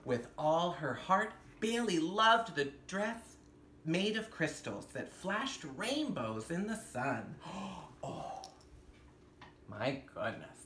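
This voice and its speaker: A man hosting storytime in drag, reading a picture book aloud theatrically with dramatic gasps, drawn-out words and playful asides. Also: fairly high pitch, very animated, bright, forceful tone. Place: indoor room with fabric backdrop. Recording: roomy echo, some background noise.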